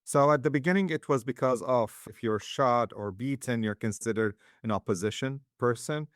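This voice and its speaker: A man from Syria, a guest, recounting his humanitarian health work in calm, measured interview answers. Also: on the low side, no room sound, clean studio sound.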